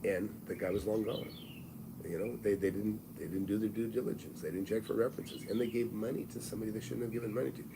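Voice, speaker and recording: Older male host in his sixties, low-pitched and plainspoken, pitching mentorship live over audible background noise. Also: fairly even intonation, fast talker. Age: 60s. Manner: blunt, folksy and salesy, with frequent restarts. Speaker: real estate mentor and channel host. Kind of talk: live-streamed advice talk.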